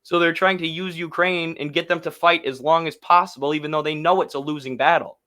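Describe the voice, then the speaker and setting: A man's voice: fairly high pitch, fairly even intonation, a little fast, pushed and bright. A man giving casual, indignant and sarcastic political commentary as the host of a livestream. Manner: indignant, sarcastic, mocks others in put-on voices.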